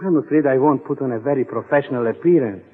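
An actor playing a wry, nervous, reluctant accomplice in an old-time radio adventure. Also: male voice, mid-range voice, quick pace, slightly rough, lightly acted. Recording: muffled reverberant old radio recording.

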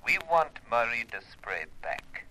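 An actor playing a formally polite foreign kidnapper who makes calm, measured threats in a 1950s radio crime drama. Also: male voice, mid-range voice, poor audio. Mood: commanding threat. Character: menacing, coolly polite foreign male villain and kidnapper. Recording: vintage 1950s radio recording.

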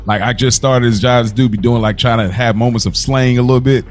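A man's voice: medium pitch, fairly even intonation, fast talker. An American man co-hosting a podcast in casual, slangy chat, often repeating phrases for emphasis. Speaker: podcast co-host. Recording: music playing behind the voice. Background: clear background music.

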